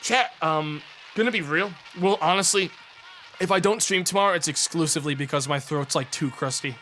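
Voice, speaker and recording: A young man with a hoarse, raspy voice, casually chatting to his viewers on a live stream and thanking subscribers in a rambling, offhand way. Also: fairly high pitch, a little fast, bright, forceful tone, slight background noise. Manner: rambling, offhand, trails into mumbles.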